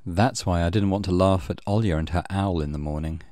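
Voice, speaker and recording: A man's voice: deep voice, fairly quick, warm tone, a little hiss or hum. A man reading a horror visual novel aloud as a dramatic narrator for a let's play.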